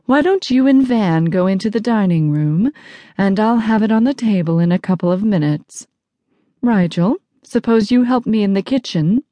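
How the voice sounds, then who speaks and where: A woman's voice: mid-range voice, animated, moderate pace, mellow, dry recording, voice pushed up, close to an everyday voice. Woman playing a composed, polite mother. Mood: politely pleasant. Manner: pleasant, composed. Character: polite, firm mother of a teenage boy. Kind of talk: audiobook character dialogue.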